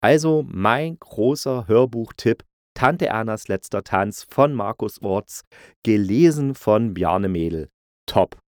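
A man's voice: on the low side, expressive, slow pace, a little mellow, dry recording, clean studio sound. A middle-aged man from East Germany giving a casual, colloquial and enthusiastic review as a podcast host. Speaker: audio drama critic, podcast host.

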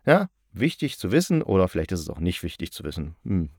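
A man's voice: deep voice, very animated, fast, a little mellow, dry recording, studio quality. A man hosting a podcast about audio dramas, chatting casually and rambling a little, with wry, ironic asides. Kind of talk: casual solo podcast talk.

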